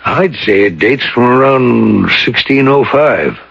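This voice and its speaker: An actor playing an earnest, superstitious colonel who tells grave stories deliberately in a radio drama. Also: male voice, medium pitch, animated, creaky, husky, heavily acted. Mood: grave, musing. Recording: dull, roomy old radio broadcast.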